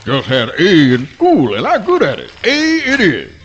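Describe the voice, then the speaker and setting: Man as a slow, wildly swooping schoolboy critter. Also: on the high side, huge pitch swings, a bit bright, muffled recording, exaggerated voice. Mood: scolding, boastful. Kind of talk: comic radio play acting.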